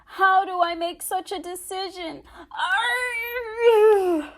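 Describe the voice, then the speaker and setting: A woman voicing an excitable, dramatic little boy in a picture book, easily distressed and given to gasping, wailing exaggeration. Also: very high-pitched, extremely slow, voice pushed up, exaggerated voice. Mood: anguished despair.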